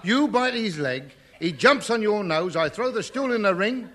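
An actor playing a shady, breezy Cockney wrestling promoter in 1950s British radio comedy, talking fast in matter-of-fact slang. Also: male voice, sits high, expressive, fairly bright tone. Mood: bossy, brisk. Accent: London Cockney. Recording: noisy old radio recording.

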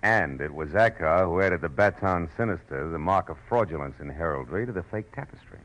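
A man's voice: low voice, animated, a little fast, a bit bright, rough recording. An American man playing a weary, cynical hard-boiled detective in 1940s radio drama, clipped and sardonic. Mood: matter-of-fact. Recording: old radio broadcast with music.

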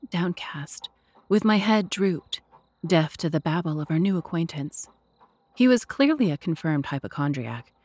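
A woman narrating a classic mystery audio drama in a measured, literary storytelling manner. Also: fairly low pitch, darkish tone.

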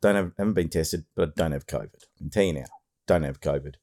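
A middle-aged Australian man hosting a podcast, chatting casually in a rambling way with lots of fillers, his voice hoarse as he is losing it. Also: extremely low pitch, quick pace, darkish tone. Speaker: podcast host.

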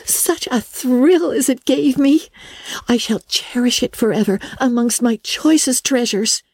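A woman voicing an excitable, dramatic orphan girl who gushes and stammers in an audiobook. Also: high-pitched, a little slow, no room sound, voice pushed up. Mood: thrilled. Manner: breathless, gushing, stammering when upset. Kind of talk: audiobook character voice.

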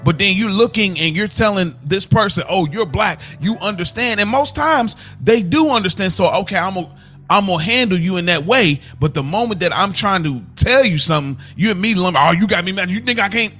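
A man's voice: high voice, animated, brisk, bright, forceful tone, faint music behind. A man giving impassioned opinions as a podcast panelist, talking in informal slang and repeating himself for emphasis.

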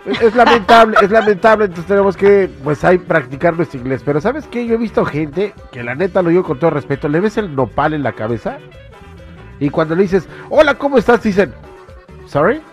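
High-voiced animated radio co-host. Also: male voice, brisk, soft background music. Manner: animated, opinionated banter. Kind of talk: call-in radio chat.

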